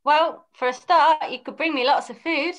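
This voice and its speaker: A naive, eager young pantomime lad, played by a woman. The voice is high, with wide-eyed, stagey exclamations in an amateur read-through. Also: normal speed, a bit bright. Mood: excited. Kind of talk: amateur pantomime script reading. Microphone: computer mic on video call.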